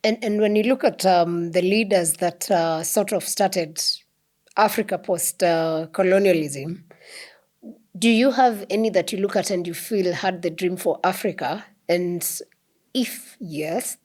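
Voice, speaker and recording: A woman's voice: lowish voice, unhurried, a little mellow. A woman hosting a podcast and interviewing a guest, her questions hesitant and filled with "uh" and "um.". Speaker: podcast host.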